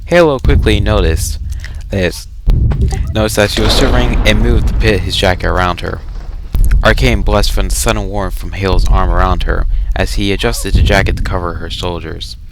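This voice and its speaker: A man reads fiction aloud in a low voice with a gravelly, creaky edge. His phrasing is deliberate and dramatic, like storybook narration, and he slips into casual asides. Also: fairly even intonation, background noise. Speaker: reader of a fan story.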